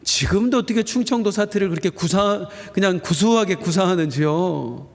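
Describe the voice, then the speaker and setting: A man with a high voice preaches a Sunday sermon from the pulpit of a church sanctuary. He draws phrases out slowly for weight, then lifts his voice on the points he most wants to land. A long reverb trails every line through the hall. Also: creaky. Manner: earnest preaching, slowing down for emphasis and rising on key phrases. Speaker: senior pastor.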